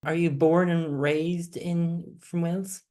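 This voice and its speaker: A man's voice: on the high side, flat delivery, takes it slowly, a little mellow. A man hosting an interview podcast, introducing his guest with upbeat enthusiasm and asking personal questions. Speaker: podcast host.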